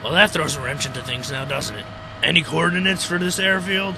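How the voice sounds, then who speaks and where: Man acting a gravelly army captain, noisy recording. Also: on the high side, fairly quick. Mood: dry, irritated. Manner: clipped orders, dry sarcasm. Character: commanding, stern World War II American army captain. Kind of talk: amateur radio drama acting. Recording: noisy amateur radio drama recording.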